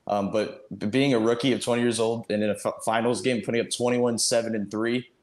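Echoey room, guy breaking down sports really quick, kinda monotone, ums a lot. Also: medium pitch. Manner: frequent ums, strings clauses together. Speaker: sports panelist. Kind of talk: casual sports analysis. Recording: roomy, echoing sound.

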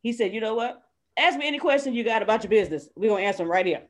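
A woman on a group video call, chatty and a little halting as she tells a story. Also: a little high, animated. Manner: chatty, halting, laughs while recounting. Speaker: meeting attendee.

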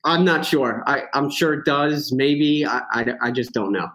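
A man's voice: mid-range voice, measured pace, a bit bright, low-quality recording. Rambling man guesting on a podcast. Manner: rambling, lots of fillers and restarts, self-joking. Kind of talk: casual chat.

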